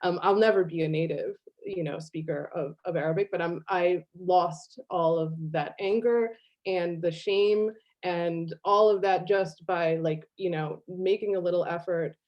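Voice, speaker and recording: A woman speaking casually on a video call, animated, laughing often and using lots of fillers. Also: lowish voice, a little slow. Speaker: guest artist. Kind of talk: casual online conversation. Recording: slightly dull video call audio.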